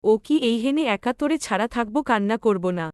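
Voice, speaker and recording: A woman's voice: fairly high pitch, animated, fast, darkish tone, a little hiss or hum, close to an everyday voice. A woman voicing a caring old village grandmother in a cartoon, homely and coaxing, with a rural Bangladeshi Bengali dialect. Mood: concerned. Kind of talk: cartoon dialogue.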